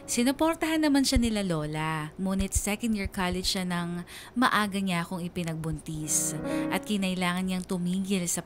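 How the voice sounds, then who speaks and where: Casting: sentimental Filipina daughter telling her story, lowish voice, measured radio narration over music. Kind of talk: dramatic scripted radio narration. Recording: music behind the voice.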